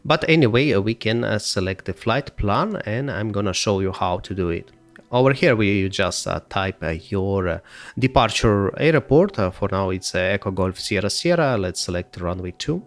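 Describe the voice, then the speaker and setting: A man narrating a software tutorial step by step, with frequent hesitations. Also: lowish voice, animated. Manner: halting delivery with frequent hesitations.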